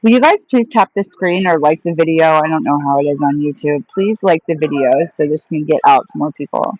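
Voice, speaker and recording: A woman's voice: low-pitched, fairly quick, a little mellow, dry recording, lo-fi sound. Woman, casual live-stream host, rambling chatty delivery, dry close sound. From United States. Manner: chatty, rambling, reads and answers viewer questions aloud. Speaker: vlogger answering live chat.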